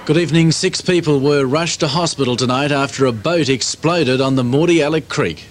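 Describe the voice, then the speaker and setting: A man's voice: on the high side, moderate pace, over music. A man with an Australian accent reading a scripted late television news bulletin as anchor. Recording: faintly noisy 1980s TV broadcast tape.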